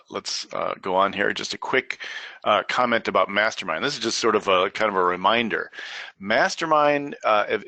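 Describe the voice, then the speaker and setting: A middle-aged man with a froggy, hoarse voice presenting a software training webinar, hesitant, with many fillers and restarts. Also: lowish voice, no echo. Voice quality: frequent filler words and restarts. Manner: rambling, hesitant delivery.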